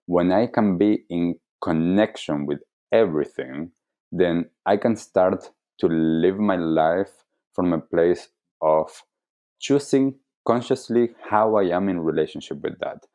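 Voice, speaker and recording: A man with an Argentine accent giving reflective interview answers as a wellness coach, explaining deliberately and earnestly. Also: sits low, mellow. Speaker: wellness coach, podcast guest.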